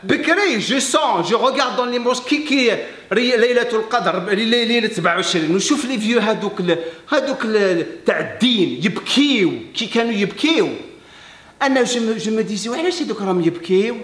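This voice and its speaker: High-voiced man in an echoey room. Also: expressive, a bit bright. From Algeria. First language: English. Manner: emphatic, halting non-native French with repeats. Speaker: fitness coach and video host. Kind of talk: heartfelt talk to camera, switching between French and Arabic.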